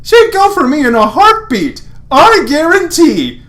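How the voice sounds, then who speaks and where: Someone putting on a cocky high-pitched teenage boy. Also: huge pitch swings, unhurried, exaggerated voice. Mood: cocky, exclaiming. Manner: loud, exclaiming, dramatic. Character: cocky, excitable teenage boy space paladin.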